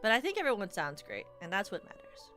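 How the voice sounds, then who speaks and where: A woman's voice: medium pitch, wildly swooping pitch, quick pace, sharp tone, soft background music. A young adult woman streamer in casual, rambling livestream chat, playfully teasing her viewers.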